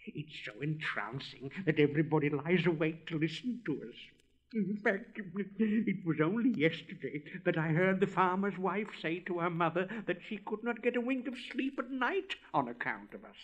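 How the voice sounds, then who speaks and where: A man's voice: high voice, animated, moderate pace, voice pushed up. A man voicing a chatty, complacent little male frog in a storybook reading, gushing and giggling at his own words. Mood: smug, chatty. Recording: dull lo-fi recording.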